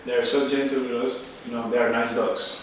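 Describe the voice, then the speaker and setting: A man's voice: medium pitch, little pitch movement, brisk. A man from Costa Rica with a Costa Rican Spanish accent, answering interview questions politely and deferentially as a guest. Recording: echoey room, distant mic, faint noise.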